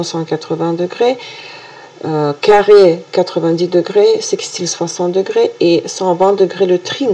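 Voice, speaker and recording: A woman with a Russian accent, a native Russian speaker, presenting a weekly horoscope video in a halting way full of hesitations and restarts. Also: lowish voice, mellow. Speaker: astrologer.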